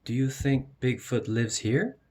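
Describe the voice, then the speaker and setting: A wide-eyed young boy cat from a storybook, acted by a man, full of eager wonder. The voice is lifted and bouncy, with a husky edge. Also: mid-range voice, expressive, a little slow, darkish tone, pitched above the performer's own voice. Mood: curious. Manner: wondering, questioning delivery.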